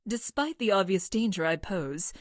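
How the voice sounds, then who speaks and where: An actress voicing a coldly clinical scientist from the future in a video game, with deadpan, formal, precise diction. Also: female voice, mid-range voice, normal speed, dry recording, studio quality, natural voice. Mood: formal, detached. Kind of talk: scripted video game character lines.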